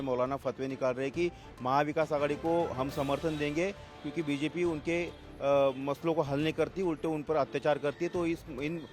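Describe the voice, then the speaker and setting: A man's voice: mid-range voice, a little mellow. A man working as a television news reporter, putting long, insistent questions to a guest in a political interview.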